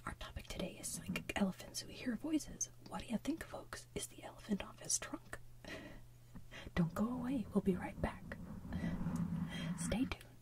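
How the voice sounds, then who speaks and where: A woman's voice: on the high side, animated, a little slow, long pauses. A smarmy cat news anchor, whispered by a woman. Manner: showy broadcaster patter.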